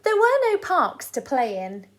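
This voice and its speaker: A woman reading aloud to children as a storyteller, in an expressive style. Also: sits high. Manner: deliberate, sing-song delivery of rhyming verse.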